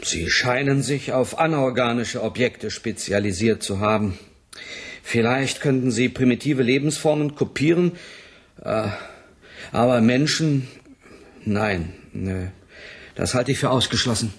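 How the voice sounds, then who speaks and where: An actor playing a sober colony scientist in a radio play, explaining grim findings in a matter-of-fact, hesitant way. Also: male voice, mid-range voice, a little slow, breathy edge. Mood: explanatory. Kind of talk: 1980s German radio play acting.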